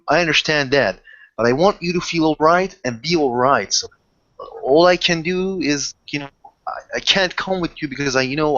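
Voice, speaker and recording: A man playing a protective, insistent high-ranking werewolf in improvised tabletop roleplay, pleading in a halting, repetitive way. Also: a bit bright, highs cut off, natural voice.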